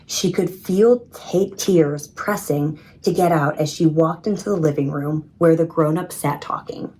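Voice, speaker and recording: A woman reading a children's story in an expressive, measured storytelling manner. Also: deep voice, low-quality recording. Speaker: storybook reader. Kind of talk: scripted children's story narration.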